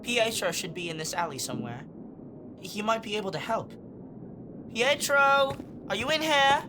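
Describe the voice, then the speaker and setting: An actress playing an earnest, determined young investigator. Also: female voice, medium pitch, expressive, normal speed, noisy background, lightly acted.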